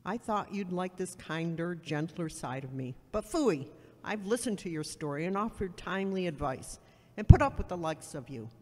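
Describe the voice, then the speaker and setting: A woman's voice: fairly low pitch, animated, normal speed. A woman acting a blunt, haughty woman who gives sardonic advice in an amateur audio drama. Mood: brusque. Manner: brusque, sardonic, superior. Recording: echoey hall with long reverb.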